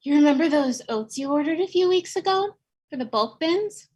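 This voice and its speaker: A woman acting a dry, spiteful co-op worker in her thirties at a comedy table read, speaking in slow, understated sarcasm with a breathy voice. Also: high-pitched, lively intonation, a little mellow. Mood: deadpan.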